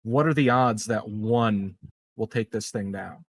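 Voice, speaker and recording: A man's voice: lowish voice, measured pace. A man playing a tabletop roleplaying game, talking casually through decisions in character.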